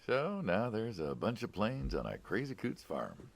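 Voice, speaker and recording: A man's voice: fairly low pitch, huge pitch swings, measured pace, creaky, exaggerated voice. A man playing a friendly, inquisitive, wryly skeptical middle-aged American mobster in naturalistic video game dialogue. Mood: bemused, skeptical.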